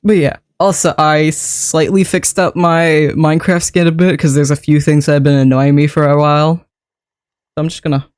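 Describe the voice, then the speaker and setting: Slightly sick, chatty streamer with a deepened voice. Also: little pitch movement, normal speed, darkish tone, clear, resonant tone. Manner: chatty and rambling, laughs often, greets and thanks chat. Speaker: game streamer. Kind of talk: casual chat with a live stream audience.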